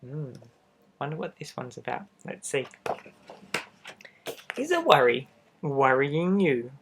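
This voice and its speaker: A man reads a picture book aloud to young children in a gentle, expressive way, adding little asides straight to the listener. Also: mid-range voice, slow pace, a little hiss or hum. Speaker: storybook reader for children.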